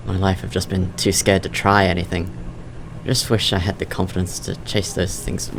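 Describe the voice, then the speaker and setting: An actor playing a nervous, earnest young job candidate in an audio drama, halting and apologetic in his speech. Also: deep voice, completely flat delivery, background noise. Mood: shy, wistful. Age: young adult.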